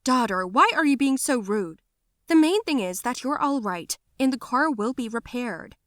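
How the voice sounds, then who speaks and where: A woman's voice: on the high side, animated, moderate pace, no echo, clean studio sound, pitched above the performer's own voice, lightly acted. A woman voicing a fussy, doting middle-aged mother who gushes and scolds. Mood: scolding.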